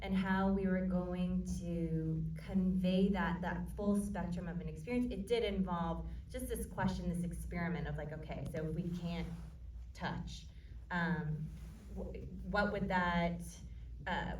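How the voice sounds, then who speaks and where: A woman, an actor at a screening Q&A, speaking in a playful, teasing and animated way in a cinema auditorium. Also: lowish voice, a little slow. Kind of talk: unscripted Q&A answers. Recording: echoey room, distant mic, faint noise.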